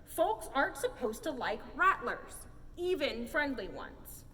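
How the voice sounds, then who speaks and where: A woman acts out a folksy, exasperated sheriff in a children's Western tale. The voice is loud and high, leaping in pitch as he blusters. It sounds in a large reverberant space, off the mic. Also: very animated, unhurried, exaggerated voice. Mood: loud, declaring. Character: exasperated, blustering Old West sheriff, a man. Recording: roomy hall, distant mic, faint noise.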